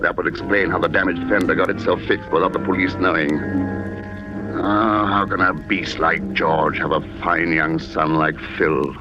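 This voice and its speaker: A man playing a grieving, vengeful writer in an old radio drama, politely guarded and sometimes halting in his speech. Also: low voice, steady tone, close to an everyday voice. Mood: grim, intent. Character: grieving, vengeful writer hunting a killer, diary narrator. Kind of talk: 1940s radio drama narration and dialogue. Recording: dull old radio recording, music behind.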